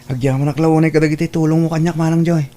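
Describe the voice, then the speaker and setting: A man's voice: fairly high pitch, level tone, racing, dark tone, slight background noise, lightly acted. A man playing a humble, grateful young student brother with a Filipino accent, speaking deferentially in an Ilocano family radio drama.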